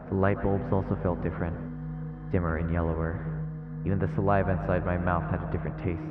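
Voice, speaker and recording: Low-voiced male streamer in echoey reverb. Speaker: livestreamer playing a visual novel. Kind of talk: reading game text aloud with spoken reactions. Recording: echoey reverb, muffled, music behind. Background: game music.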